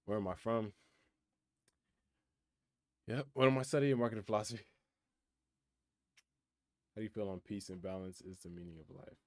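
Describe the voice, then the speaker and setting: Young man, nineteen, low voice, dry close sound; relaxed streamer musing on chat questions. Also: moderate pace, long pauses, dry recording. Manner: laid-back, reflective, reads chat questions aloud and answers. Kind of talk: casual live-stream chat. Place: his room in college housing. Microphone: computer mic.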